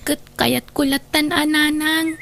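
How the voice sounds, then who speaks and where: Young mother, played by a woman, high voice, dutiful and anxious, radio-drama delivery with birds and noise behind. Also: fairly even intonation, measured pace, a bit bright, full character voice. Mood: worried, weary. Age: young adult. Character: dutiful, worried young mother caring for her ailing mother. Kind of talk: Ilocano audio drama acting. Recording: clear background noise with birdsong.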